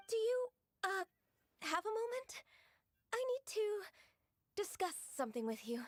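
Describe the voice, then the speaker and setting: Timid, high-voiced gentle young woman. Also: sing-song, slow, no room sound, heavily acted. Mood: hesitant, polite. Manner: soft-mannered, hesitant, courteous. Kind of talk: video game voice acting.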